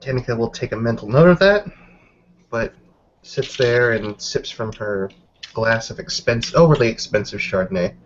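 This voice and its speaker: A man's voice: mid-range voice, animated, moderate pace. A man casually narrating his character's actions as a player in a tabletop game. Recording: dull, noisy home mic.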